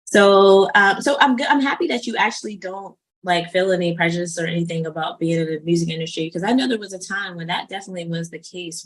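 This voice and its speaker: A woman's voice: lowish voice, poor audio. A woman in her late thirties hosting a casual podcast interview, chatty and self-deprecating, with lots of "um"s. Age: 30s. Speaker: podcast host.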